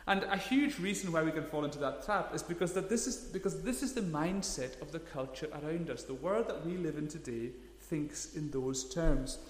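A man's voice: on the high side, animated, moderate pace, few pauses. A man, preaching a sermon in an earnest, emphatic way, leaning hard on key words. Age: 30s. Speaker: pastor. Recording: echoey hall, long reverb.

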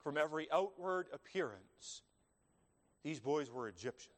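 A man preaching a sermon, speaking in slow, measured phrases with long dramatic pauses. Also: on the high side, lively intonation. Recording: long reverb of a large hall.

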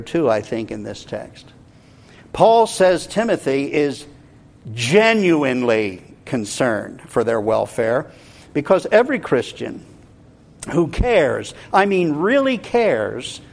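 Slow, deliberate male preacher. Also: fairly high pitch. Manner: earnest, emphatic, deliberate with dramatic pauses. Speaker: pastor preaching. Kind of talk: expository sermon with scripture quotations.

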